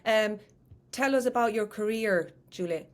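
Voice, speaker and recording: A woman with an Irish accent hosting a podcast, framing her questions hesitantly with many pauses and fillers. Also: mid-range voice. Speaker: podcast host. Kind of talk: casual interview.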